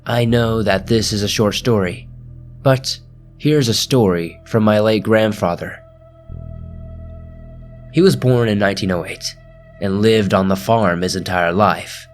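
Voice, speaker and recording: Low-voiced man narrating scary stories. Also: measured pace, pauses often, soft background music. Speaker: host and narrator of a scary story channel. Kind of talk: scripted horror story narration.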